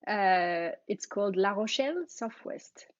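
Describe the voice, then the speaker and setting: A woman's voice: mid-range voice, lively intonation, no echo. French-accented woman, muffled dry sound. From France. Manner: laughs often, halting with fillers. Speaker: guest, aerialist. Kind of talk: casual interview.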